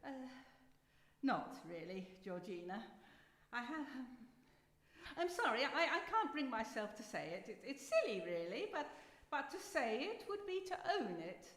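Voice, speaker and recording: A woman's voice: on the high side, big pitch swings, moderate pace, fairly bright tone. A woman playing a playful, genteel society lady who is seriously ill but defiant, in a live radio drama. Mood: hesitant, grave. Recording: echoey hall, live stage performance.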